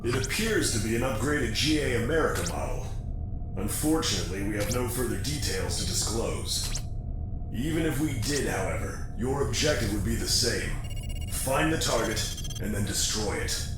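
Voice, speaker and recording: An actor playing a businesslike mercenary broker who gives a matter-of-fact mission briefing in a video game. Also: male voice, mid-range voice, close to an everyday voice. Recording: roomy echo, music underneath.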